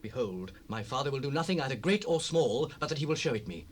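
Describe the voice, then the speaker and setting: Loyal young prince male actor, biblical audio drama: solemn, reassuring declamation, lively pitch movement, light tape hiss. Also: fast, close to an everyday voice. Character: young prince Jonathan, loyal, devoted friend. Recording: noisy cassette rip, distant ambience.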